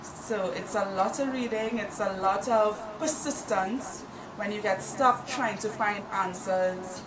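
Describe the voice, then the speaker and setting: A woman from Trinidad and Tobago, a podcast guest explaining her consulting work in a measured, earnest way. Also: medium pitch. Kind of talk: casual interview. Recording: roomy, distant mic with crowd noise.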